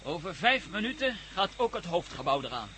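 A cocky gang leader in an old radio play, with a very high, piercingly bright voice. He barks orders and mocks his captives with sardonic menace, over slight recording noise. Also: big pitch swings, brisk, full character voice. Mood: sardonic, threatening. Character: cocky commanding male armed gang leader, menacing, mocking. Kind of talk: radio drama acting.

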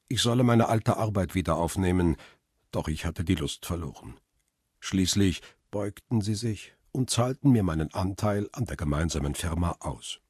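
Deep, world-weary brooding male narrator. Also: darkish tone, husky, no echo, studio quality. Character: world-weary male photographer, lonely widower, melancholy. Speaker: first-person narrator and lead. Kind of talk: radio drama narration and dialogue.

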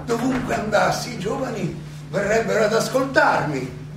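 An actor playing an aging Athenian philosopher who defends himself in a theatrical monologue, ironic and full of rhetorical questions and halting repetitions. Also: male voice, sits high, lively intonation, over music. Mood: indignant, ironic. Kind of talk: theatrical stage monologue, oratory. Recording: roomy, distant, noisy live stage recording.

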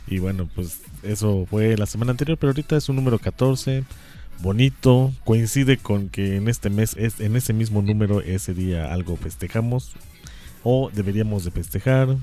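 A man's voice: fairly low pitch, normal speed, dark tone, faint music behind. A Mexican man co-hosting a podcast, chatting casually in a joking, informal way. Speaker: podcast co-host. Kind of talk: casual chat.

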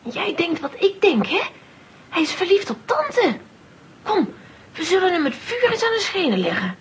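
A woman playing a bossy, nosy, excitable little girl in a children's audio drama, with pert, exclamatory delivery. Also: extremely high pitch, normal speed, a little hiss or hum. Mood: excited, conspiratorial.

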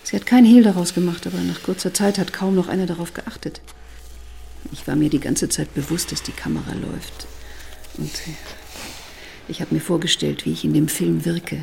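A woman's voice: fairly low pitch, steady tone, normal speed, vocal fry, mellow, a little hiss or hum. An actress playing a guarded, rueful older woman with a weary manner in a radio drama. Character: guarded, bitter older woman, self-deprecating. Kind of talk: German radio drama dialogue.

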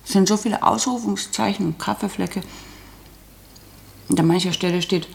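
A woman's voice: medium pitch, moderate pace, gravelly, natural voice. An actress playing a chatty, inquisitive young landlady's daughter in a German radio play, with a matter-of-fact manner.

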